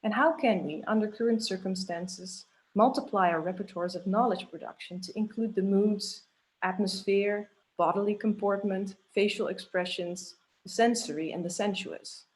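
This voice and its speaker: A woman moderating an online academic webinar, reading a prepared introduction in a measured way. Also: medium pitch, darkish tone, poor audio. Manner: measured, listing questions. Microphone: video call.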